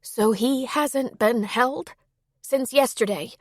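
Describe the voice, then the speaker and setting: A woman's voice: on the high side, takes it slowly, dry recording, voice pushed up. Audiobook role: tender-hearted, anxious young woman, voiced by a female narrator, urgent delivery, dry close sound. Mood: worried. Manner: worried, earnest, urgent. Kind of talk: audiobook character dialogue.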